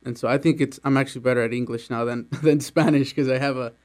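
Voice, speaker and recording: A man's voice: medium pitch, steady tone, fast talker, darkish tone. A young man from Mexico answering interview questions about his childhood, talking casually with many fillers and small laughs. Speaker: employee interviewed about his immigrant journey.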